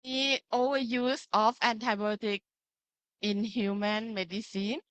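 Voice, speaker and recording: A woman's voice: fairly high pitch, fairly even intonation, measured pace, smooth, no echo. A woman from Thailand with a Thai accent, lecturing to students in simple phrases and often checking that they follow. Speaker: pharmacy lecturer. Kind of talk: interactive online lecture with slides.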